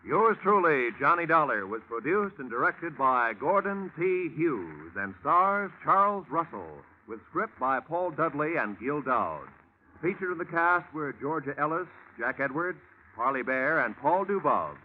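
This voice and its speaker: A man's voice: fairly high pitch, very animated, unhurried, slight background noise. An American man working as a radio announcer, with polished, formal 1940s network delivery. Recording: tinny vintage radio recording with music.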